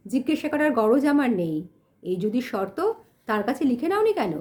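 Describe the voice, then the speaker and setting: A woman's voice: on the high side, very animated, normal speed, voice pushed up. A woman voicing a stern, haughty male landlord who talks curtly and commandingly in an audio storybook. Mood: curt, challenging. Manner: loud, scolding, commanding. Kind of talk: acted dialogue in audio storybook.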